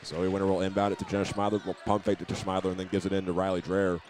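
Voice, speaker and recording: A man doing live play-by-play of a high school basketball game, sometimes restarting his phrases, with the sounds of the game behind him. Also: flat delivery, rapid-fire, noisy background. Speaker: play-by-play announcer.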